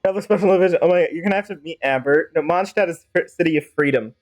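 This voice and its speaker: High-voiced man rambling on a game stream. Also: quick pace. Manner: rambling, chatty. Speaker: livestreamer. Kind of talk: casual game stream commentary with playful role-play. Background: game music.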